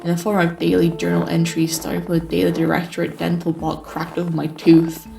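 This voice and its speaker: A man playing a weary, sarcastic survivor who narrates life after the apocalypse in a deliberate, sighing, dramatic way. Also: a little high, monotone, creaky, mellow, a little hiss or hum. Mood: grave, dramatic. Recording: music behind the voice. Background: music.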